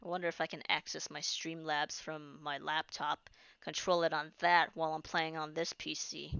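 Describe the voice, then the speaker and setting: A woman's voice: deep voice, level tone, moderate pace, gravelly, fairly bright tone, clear, resonant tone, no echo. A woman chatting casually during a live game stream, rambling and restarting sentences, her voice starting to tire. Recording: dull lo-fi stream audio.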